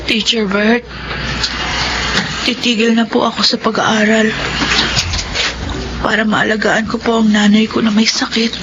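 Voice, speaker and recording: A woman's voice: fairly high pitch, flat delivery, unhurried, long pauses, loud music behind the voice. An actress playing a poor, devoted young schoolgirl in an emotional radio drama, earnest and polite. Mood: sad, dutiful. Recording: muffled recording with loud background noise.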